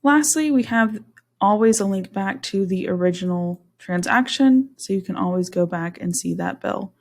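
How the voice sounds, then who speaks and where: Instructional woman narrating a software tutorial. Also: mid-range voice, moderate pace. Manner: instructional, step-by-step. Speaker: software demonstrator.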